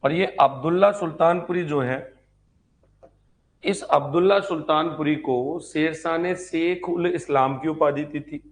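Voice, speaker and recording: A male teacher with a moderately high-pitched voice delivers an exam-prep lecture. He explains point by point, often repeats a phrase as though dictating notes. He poses short questions and then answers them himself. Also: unhurried. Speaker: history teacher.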